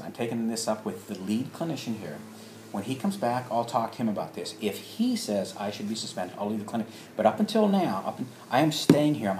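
Man, lowish pitch, halting storyteller, re-enacted dialogue, strong room echo, slight background noise. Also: darkish tone. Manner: halting, acts out remembered dialogue. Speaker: storyteller, alternative health practitioner. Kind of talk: personal anecdote told to listeners.

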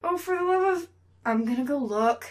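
Woman playing a young female skeptic, slightly high voice, exasperated, sarcastic, sharp tongue, story dialogue. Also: normal speed, close to an everyday voice. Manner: dry, sarcastic.

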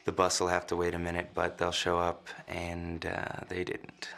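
A man's voice: deep voice, monotone, normal speed, vocal fry, a bit bright. A man recounting in a plain, subdued way how his father and brother went missing. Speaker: interviewee, son of the lost skier. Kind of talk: documentary interview recollection. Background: dramatic score.

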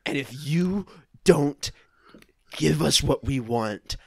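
A young man improvising a comedy table read in a hesitant, halting way. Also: fairly high pitch, lively intonation, creaky, slightly rough, dry recording, studio quality. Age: young adult. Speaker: podcast host and improv performer. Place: room at home, on a video call.

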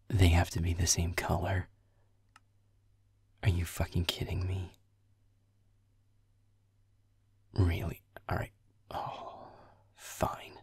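A man playing a grumpy young werewolf boyfriend in a roleplay audio, muttering deadpan, sarcastic, sweary video game commentary in a breathy, gravelly half-whisper. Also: deep voice, no room sound, heavily acted. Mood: annoyed, exasperated. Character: grumpy young male werewolf boyfriend, gamer, sulky and aloof.

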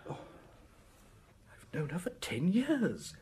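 An actor playing a flustered, lovelorn middle-aged married gentleman in a radio drama, stammering and agitated. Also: high voice, wildly swooping pitch, slow, breathy edge, exaggerated voice. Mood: wistful.

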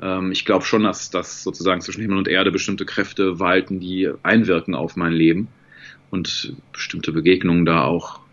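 A man in his forties with a fairly low voice speaks in a thoughtful, searching way, hesitating and restarting as he reflects. He talks over a video call from home. The sound comes through dull and lo-fi. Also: flat delivery, moderate pace, fairly bright tone. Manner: reflective, thinks aloud with many fillers and restarts. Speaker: guest voice actor. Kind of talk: casual video-call interview. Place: home room with bookshelves.

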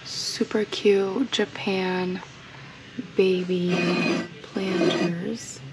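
A woman narrating a casual shopping vlog while browsing an antique mall, chatty and admiring, with frequent ums, recorded on a handheld camera. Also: mid-range voice. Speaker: reseller vlogger. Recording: fan noise in the background.

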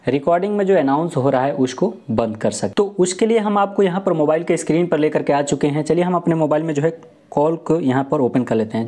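Young man, soft dark timbre, explanatory tutorial talk, repeats and rephrases, lapel mic indoors. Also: on the high side. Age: young adult. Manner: explanatory, repetitive, rephrasing points. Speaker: tech tutorial presenter. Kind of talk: step-by-step tutorial talk to camera. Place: small room indoors.

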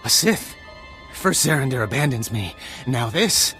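An actor playing a frightened, bitter Jedi apprentice in a video game, agitated and dramatic, moving between dread and defiance. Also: male voice, medium pitch, animated, unhurried, over music. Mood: panicked despair.

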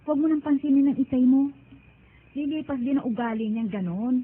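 Tinny noisy recording, woman playing devout middle-aged Filipino mother, high pitch, long-suffering, radio drama. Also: brisk, natural voice. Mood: patient, resigned. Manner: chiding, pleading.

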